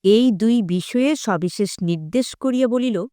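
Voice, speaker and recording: A woman narrating a literary story for an audio drama in a formal, measured way. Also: a little high, dark tone, no room sound.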